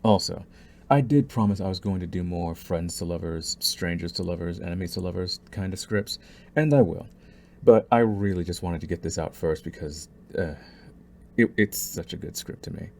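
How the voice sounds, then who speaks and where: Deep-voiced man chatting casually to listeners. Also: moderate pace, a little mellow. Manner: informal, earnest, says y'all. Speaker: audio roleplay creator. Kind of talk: casual outro chat to listeners.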